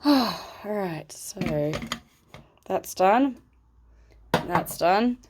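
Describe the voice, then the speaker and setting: A woman's voice: mid-range voice, expressive, very slow, background noise. A woman with a stuffy, snuffly voice from a cold, chatting casually at home as she shows her plants. She rambles, recorded on her phone camera. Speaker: plant vlogger. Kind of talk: casual chat while handling plants. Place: at home indoors.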